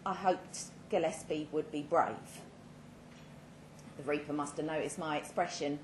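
Low-voiced woman reading a story live. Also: fairly even intonation, unhurried. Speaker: short story reader. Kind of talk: dramatic literary reading of a first-person story. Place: indoor live literary event. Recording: roomy live venue, clear background noise.

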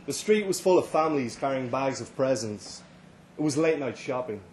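A man's voice: medium pitch, lively intonation, normal speed, a little mellow. Irish man reading a story aloud. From Ireland. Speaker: story reader. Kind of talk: live literary reading, first-person narration. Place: live reading at a London venue. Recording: live event recording, faint background noise.

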